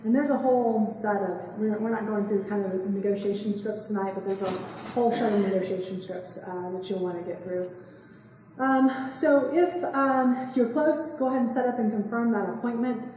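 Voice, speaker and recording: A woman, giving a quick, chatty seminar talk and asking the room questions. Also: medium pitch, steady tone, very echoey, long reverb tail, far from the mic, poor audio. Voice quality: soft voice. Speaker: real estate investing speaker. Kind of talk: live seminar talk to a small audience. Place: meeting room, indoors. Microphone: far camera.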